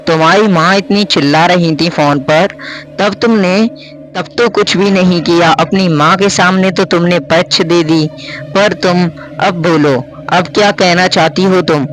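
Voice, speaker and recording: A man's voice: sits high, a bit bright, rough recording. A man voicing a stern woman teacher questioning a pupil in a spoken story. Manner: stern, interrogating. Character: stern female schoolteacher interrogating a pupil.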